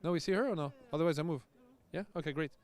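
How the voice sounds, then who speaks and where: A man's voice: fairly high pitch, racing, a little mellow. A man, a tennis coach hosting casual live commentary, chatty and loose with easy laughs. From France. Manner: chatty, loose, laughs often, restarts sentences.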